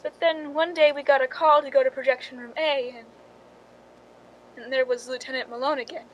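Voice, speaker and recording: A woman's voice: high-pitched, steady tone, fairly quick, muffled recording, slight background noise, close to an everyday voice. A woman acting a guilt-ridden actress's stand-in, confessing her story in 1940s radio drama style. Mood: wistful narration.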